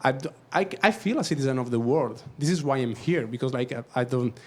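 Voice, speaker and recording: Italian man in the audience, non-native English, halting delivery with sudden quick bursts. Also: medium pitch, lively intonation, a little mellow. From Italy. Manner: halting, searching for words, then quick bursts. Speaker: audience member, documentary photographer. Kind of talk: question-and-answer at a talk.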